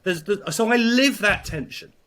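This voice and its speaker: This is a middle-aged man with an English accent and a voice pitched a bit high for a man. He is a priest recounting his own story to an interviewer, halting often with fillers, false starts and repeated words. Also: expressive, fairly bright tone. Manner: halting, many fillers and restarts. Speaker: priest, guest. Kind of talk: reflective storytelling in conversation.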